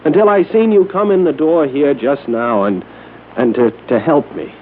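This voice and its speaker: A man's voice: a little high, lightly acted. Stammering small-time crook acted by a man, muffled radio. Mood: pleading. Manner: stammering, halting, pleading, slangy. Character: shifty, nervous small-time male crook, pleading. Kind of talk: old-time radio crime drama acting. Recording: muffled, slightly noisy old radio recording.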